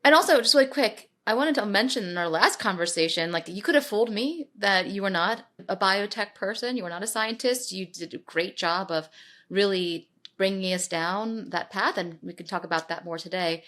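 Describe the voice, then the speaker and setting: A woman's voice: mid-range voice, normal speed. A woman co-hosting a podcast, reading a sponsor note and asking the guest questions with enthusiasm. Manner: lively, enthusiastic. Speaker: podcast co-host.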